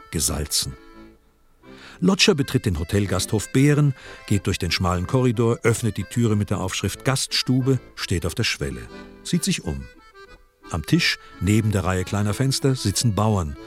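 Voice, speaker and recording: A man narrating a radio play from a script in a dramatic style, with clipped, deliberate phrasing. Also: low-pitched.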